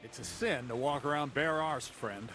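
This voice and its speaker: A man playing a gruff, mocking miller boss. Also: medium pitch, normal speed, pushed and bright, faint music behind, lightly acted. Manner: dry, mocking, bossy. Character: gruff middle-aged male miller boss.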